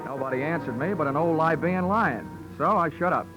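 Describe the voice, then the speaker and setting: An American actor playing a dry, deadpan, hard-boiled insurance investigator narrating his case in a 1940s radio drama. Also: on the high side, brisk, close to an everyday voice, low-quality recording. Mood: wry, tense narration. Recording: old 1940s radio recording, music behind.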